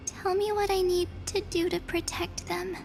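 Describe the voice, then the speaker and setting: A woman voicing an earnest, perky young heroine in a video game, with an expressive, girlish delivery. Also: extremely high pitch, moderate pace, slight background noise. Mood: pleading, perky. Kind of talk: scripted video game acting. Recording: music behind the voice.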